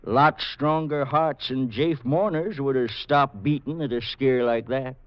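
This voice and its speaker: An actor playing a calm, shrewd country doctor, speaking in a measured, explanatory way in a radio drama. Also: male voice, medium pitch, no echo, close to an everyday voice. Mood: calm, explaining. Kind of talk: 1940s radio drama acting. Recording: dry, muffled vintage radio recording.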